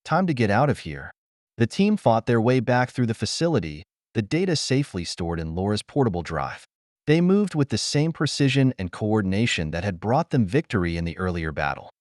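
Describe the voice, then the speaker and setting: Guy narrating a sci-fi adventure audiobook, lowish voice, really dry close sound, earnest and dramatic. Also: very animated, fairly quick, darkish tone, dry recording. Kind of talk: scripted narration of science fiction adventure.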